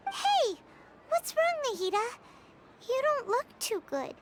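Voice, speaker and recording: A woman voicing a tiny, excitable, childlike fairy companion in a video game, squeaky and exclamatory. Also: slow pace, very noisy, full character voice. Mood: worried. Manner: speaks of self in third person, exclamatory.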